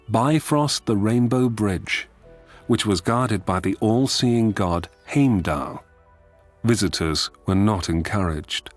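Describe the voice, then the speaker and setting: A man delivering wry, scripted comic narration like entries from a guidebook. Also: lowish voice.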